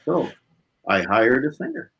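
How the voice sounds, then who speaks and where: A man with a husky voice, a musician being interviewed, talking casually and reflectively and repeating points for emphasis. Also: mid-range voice, big pitch swings, unhurried, fairly bright tone, rough recording.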